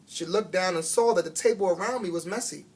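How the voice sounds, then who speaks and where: A man reads a children's story aloud in a husky voice. His delivery is expressive and emphatic, and he stretches key words for dramatic effect. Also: high-pitched, fairly quick. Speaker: guest reader of a children's book.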